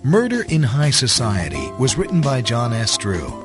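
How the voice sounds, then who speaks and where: Male announcer, scripted credit read, medium pitch, music bed underneath. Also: wildly swooping pitch, normal speed. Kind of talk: scripted narration.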